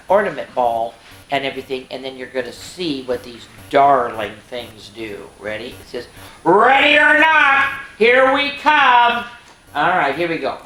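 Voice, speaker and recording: A woman hosting story time for children, reading and singing picture books with chatty, animated asides to her young listeners. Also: fairly low pitch. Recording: echoey room.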